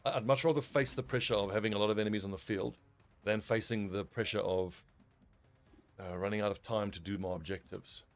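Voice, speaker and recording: Low-voiced man thinking aloud while gaming. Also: steady tone, a little fast, faint music behind. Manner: thinks aloud, swears casually. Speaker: gaming video host. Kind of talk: casual let's-play commentary.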